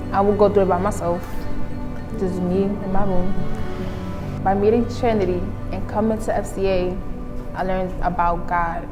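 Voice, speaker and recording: Chatty Philly teen girl over music. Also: medium pitch. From Philadelphia. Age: teens. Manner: chatty, frequent "like" fillers. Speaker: student athlete sharing testimony. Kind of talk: casual personal testimony.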